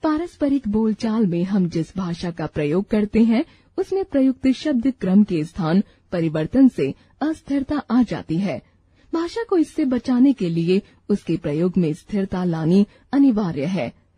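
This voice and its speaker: A woman narrating a scripted grammar lesson from a textbook, reciting rules and example lists in a measured way. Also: mid-range voice, very animated, dark tone, slightly rough, no room sound.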